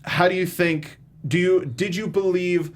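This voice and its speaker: A man's voice: high-pitched, steady tone, measured pace. A man hosting a casual film discussion, informal and often groping for his words. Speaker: talk show host.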